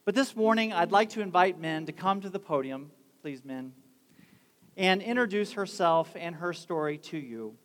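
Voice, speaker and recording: A man's voice: high-pitched, normal speed, fairly bright tone. A man introducing a guest and asking her questions at a campus assembly, in a polite, formal way. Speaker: campus leader hosting the assembly.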